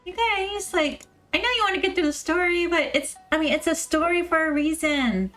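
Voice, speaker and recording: A woman's voice: very high voice, moderate pace, fairly bright tone. A woman streaming a video game, chatting informally to viewers in a rambling way, repeating words as she goes. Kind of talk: casual livestream chat. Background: game music.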